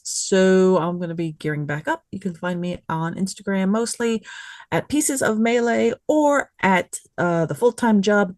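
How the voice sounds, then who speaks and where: A woman's voice: medium pitch, no room sound, clean studio sound. A woman speaking casually and informally as a podcast panelist. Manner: informal, unscripted. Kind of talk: casual chat.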